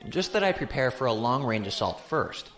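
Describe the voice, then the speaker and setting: Lively, candid male tactician, acted out, echoey room. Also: medium pitch, normal speed. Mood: candid, explanatory. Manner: casual, explanatory, animated. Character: candid, informal male tactical analyst advising an admiral. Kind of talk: science fiction audio drama acting. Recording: echoey room, faint background ambience.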